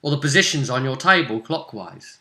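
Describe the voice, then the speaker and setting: A man's voice: medium pitch, sing-song, a little fast, sharp tone. A man chatting casually as game master and host, recapping a tabletop role-playing session.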